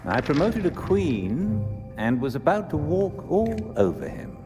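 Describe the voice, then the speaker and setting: An actor playing a measured, imposing villain with dry menace and formal phrasing in a video game cutscene. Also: male voice, fairly high pitch, big pitch swings. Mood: dry, musing. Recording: long reverberant hall, music behind.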